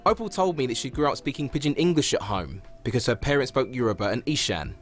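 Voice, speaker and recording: Male news reporter, measured narration. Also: mid-range voice, big pitch swings, soft background music. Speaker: reporter, interviewer. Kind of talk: news feature narration and interview.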